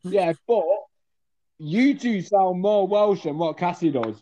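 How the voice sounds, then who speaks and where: A man's voice: high voice, animated, slow pace, dark tone. A man joining a livestream by phone call, teasing the hosts and swearing. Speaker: livestream guest. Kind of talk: casual banter. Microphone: video call on phone. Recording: muffled call audio.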